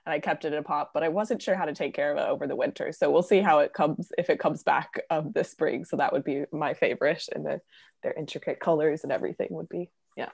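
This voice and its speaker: A woman's voice: low-pitched, fairly even intonation, fast, gravelly, a little mellow, slightly rough. A woman hosting a casual online chat, friendly and upbeat, with a lot of fillers. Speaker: host.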